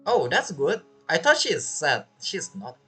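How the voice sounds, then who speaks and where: A man's voice: on the high side, sing-song, unhurried, pushed and bright, husky, faint music behind. A man giving casual, playful reaction commentary on a video game story, chiming in informally.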